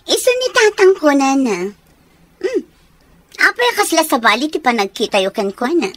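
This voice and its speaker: A woman's voice: high-pitched, sing-song, normal speed, a bit bright, no echo. An actress playing a bossy, insolent woman in a radio drama, speaking with an Ilocano accent. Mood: haughty. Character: bossy, insolent woman barging in with her father.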